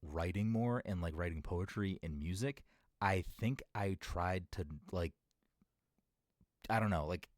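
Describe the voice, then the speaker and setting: A man's voice: deep voice, a little slow, dry recording, studio quality. A young man from Massachusetts without much of a regional accent, chatting on a podcast, rambling through tangents with careful enunciation. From Massachusetts, USA. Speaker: podcast co-host. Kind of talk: casual chat.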